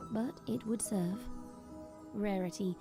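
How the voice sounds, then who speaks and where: A woman's voice: medium pitch, slow pace. A woman reading scripted, dramatic story narration as the narrator of an audio drama. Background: music.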